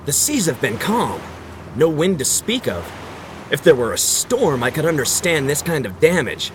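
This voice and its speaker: Earnest ship's mate, noisy backdrop. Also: male voice, a little high, big pitch swings, moderate pace. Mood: earnest, uneasy. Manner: respectful, insistent. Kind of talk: 1930s-style radio adventure acting. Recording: noisy outdoor sea and engine ambience.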